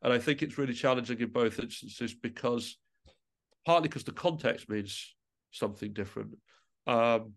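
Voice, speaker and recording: A man talks as a guest in a relaxed podcast conversation. His delivery is reflective and a little hesitant, circling back to restart phrases as he shapes each thought. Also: medium pitch, moderate pace. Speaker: interview guest, musician and composer.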